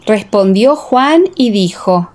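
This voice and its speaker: A woman narrating a scripture audiobook in a measured, solemn, scripted reading style. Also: medium pitch, animated, darkish tone.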